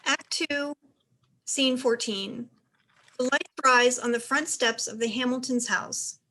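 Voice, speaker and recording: A woman's voice: a little high, gravelly, sharp tone, poor audio. A woman reading stage directions as narrator, in scripted narration for a play.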